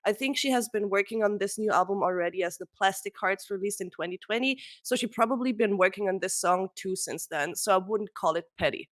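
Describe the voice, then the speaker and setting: Woman podcast co-host, casual and rambling, fillers everywhere, middle pitch. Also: brisk, few pauses. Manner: rambling with many ums and restarts.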